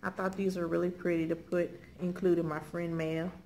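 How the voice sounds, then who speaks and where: A woman's voice: lowish voice, level tone, brisk, dark tone. A middle-aged woman with an American accent, chatting casually and folksily to viewers as she presents items, often checking in with short tags. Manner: folksy, conversational, frequent check-in tags like okay and all right. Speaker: haul video host. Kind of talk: casual chat showing purchases to viewers. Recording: clearly noisy room recording.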